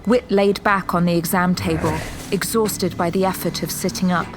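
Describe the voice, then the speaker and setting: A woman narrator reads scripted dramatic prose. Her voice is on the low side for a woman, with music clearly playing behind it. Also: steady tone, normal speed.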